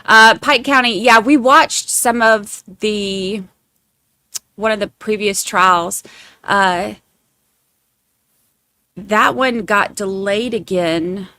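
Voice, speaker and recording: A woman's voice: medium pitch, slow, long pauses, sharp tone, dry recording. A woman with a Southern Georgia accent hosting a casual livestream, rambling and self-deprecating as she chats to her viewers. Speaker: livestream host covering trials.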